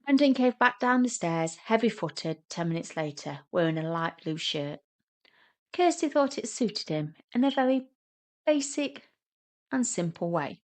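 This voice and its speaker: A woman's voice: lowish voice, expressive, measured pace. A woman reading scripted narration for a short audio story.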